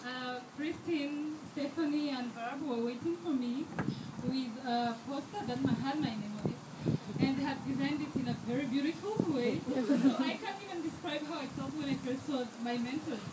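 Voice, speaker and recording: Young woman from rwanda giving a speech, highish voice, kinda far from the mic, echoey hall, noisy. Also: moderate pace, hardly any pauses. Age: 20s. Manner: earnest, with frequent fillers and restarts. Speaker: scholarship student giving a speech. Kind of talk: prepared speech at a fundraiser. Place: event hall. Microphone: handheld microphone held too far away. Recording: echoey hall, distant mic, background noise.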